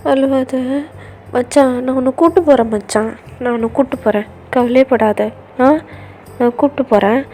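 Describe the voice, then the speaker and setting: Woman putting on a comforting bestie, soothing, bit lower than her usual, warm mellow sound. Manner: soothing, reassuring. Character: comforting, loyal young female friend.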